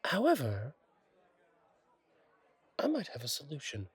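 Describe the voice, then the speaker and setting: A man playing a friendly, courteous angel bartender in an intimate roleplay audio, speaking gently with thoughtful pauses. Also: a little high, huge pitch swings, slightly rough, no room sound, a faint crowd, heavily acted. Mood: warm, reassuring. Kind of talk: intimate roleplay audio acting, one side of a conversation.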